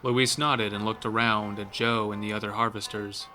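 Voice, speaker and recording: A man's voice: fairly low pitch, little pitch movement, moderate pace, sharp tone, soft background music. A man narrating a scripted horror story as the narrator of an audio drama.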